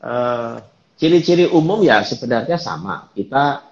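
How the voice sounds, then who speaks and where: A man calmly explaining things as a guest in a live online chat, with many fillers. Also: mid-range voice, moderate pace, roomy sound, lo-fi sound. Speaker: guest speaker.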